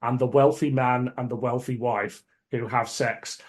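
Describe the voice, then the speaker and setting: A man's voice: medium pitch, fairly even intonation, unhurried, a little mellow. Man chatting as podcast host. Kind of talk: casual podcast chat.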